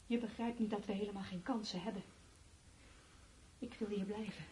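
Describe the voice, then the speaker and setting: A woman's voice: medium pitch, fairly even intonation, normal speed, a little mellow, close to an everyday voice. An actress playing a stubborn, resigned woman in a radio play, speaking in a defiant, matter-of-fact way. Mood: resigned, pleading. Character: stubborn, resigned woman wanting to stay and await the end. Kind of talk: Dutch radio play acting. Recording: faintly noisy radio drama recording.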